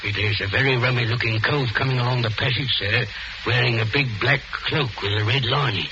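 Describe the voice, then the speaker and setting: An actor in 1940s radio style playing a loyal, boastful Cockney ex-wrestler chauffeur, deferential and plain-spoken. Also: male voice, medium pitch, a little fast, lightly acted. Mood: sturdy, dutiful. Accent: London Cockney. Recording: noisy, muffled old radio broadcast.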